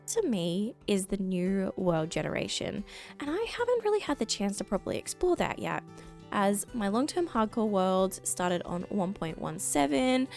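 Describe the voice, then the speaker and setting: A woman recovering from a lost voice, slightly croaky, chatting excitedly to viewers while playing a game. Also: mid-range voice. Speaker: Minecraft let's-play creator. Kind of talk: casual gameplay commentary.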